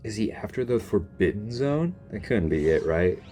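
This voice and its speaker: A man gives casual gaming commentary in a lowish, dark-toned voice that swings expressively. He is informal and drops muttered asides, with faint game music behind him. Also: lively intonation, moderate pace. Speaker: gaming content creator.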